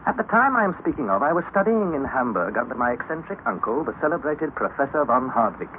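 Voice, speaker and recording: A man, narrating as a young student in a radio drama, in a measured storytelling manner, on a tinny old recording. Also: mid-range voice, sing-song, slight background noise, close to an everyday voice. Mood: recalling. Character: young male student recalling an adventure.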